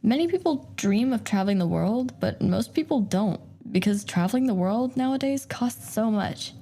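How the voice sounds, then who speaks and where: A woman's voice: fairly high pitch, lively intonation, moderate pace, warm tone. A young woman hosting an interview show, asking short, friendly questions. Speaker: host.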